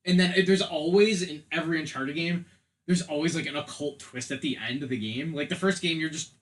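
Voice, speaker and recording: A man's voice: fairly high pitch, fairly quick. Man chatting casually on a podcast, rambling. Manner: rambling, peppered with "like". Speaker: podcast co-host. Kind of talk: casual podcast discussion of films and games.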